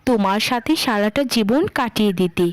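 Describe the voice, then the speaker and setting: A woman voicing a devoted, hardworking young village daughter in a cartoon drama, speaking earnestly and gently. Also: mid-range voice, brisk, dry recording, close to an everyday voice. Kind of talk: melodramatic cartoon dubbing.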